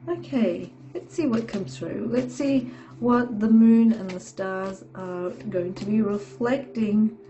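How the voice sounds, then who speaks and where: A woman giving a tarot reading, hoarse from a sore throat, talking haltingly with many fillers and frequent "okay"s. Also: mid-range voice, warm tone, poor audio. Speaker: tarot reader.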